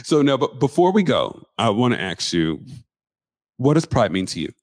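A man hosting a casual chat show, lively and animated in his talk. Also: medium pitch, normal speed, no echo. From Pacific Northwest. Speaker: host.

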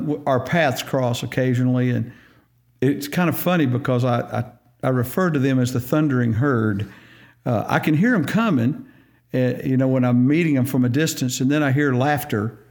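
Church podcast host guy, easygoing folksy chat, lots of yeahs. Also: medium pitch. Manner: relaxed, folksy, frequent affirmations. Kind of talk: casual church podcast interview.

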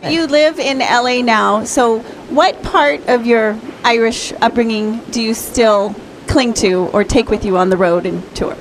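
Higher-voiced woman radio host. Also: a little hiss or hum, poor audio. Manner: brisk, affirming with short replies. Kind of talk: radio interview.

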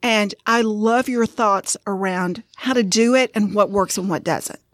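A woman's voice: medium pitch, measured pace, no echo, studio quality. A woman with a Southern US accent co-hosting a podcast, chatting casually in a playful, joking way. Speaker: podcast co-host. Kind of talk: casual conversational podcast chat.

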